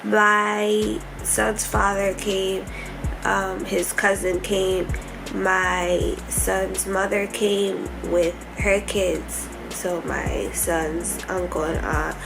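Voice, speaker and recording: Chatty young woman with a gravelly voice. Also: mid-range voice, flat delivery, very slow, over music, low-quality recording. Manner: chatty, candid, laughs often. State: stuffy nose, tired. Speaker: vlogger. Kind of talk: casual hospital vlog. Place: hospital room.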